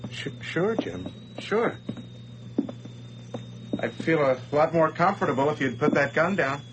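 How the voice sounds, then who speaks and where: A man's voice: fairly high pitch, lively intonation, unhurried, noisy background. An actor playing a nervous, scheming man who stammers and dodges as he confesses at gunpoint in a radio play. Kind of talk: 1980s radio drama acting.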